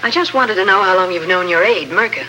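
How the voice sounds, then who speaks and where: An actor playing a suspicious, coolly polite doctor in an old radio drama, with a measured, arch, formal manner. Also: male voice, high voice, sharp tone, a little hiss or hum, low-quality recording. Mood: cool suspicion. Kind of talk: 1950s radio drama acting.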